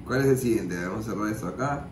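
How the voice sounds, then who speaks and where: A man's voice: on the low side, quick pace, background noise. Echoey young Peruvian man, joking co-host. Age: young adult. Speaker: co-host of a reaction show. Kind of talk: casual, joking reaction chat. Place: indoor radio-branded studio. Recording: echoey room, distant mic.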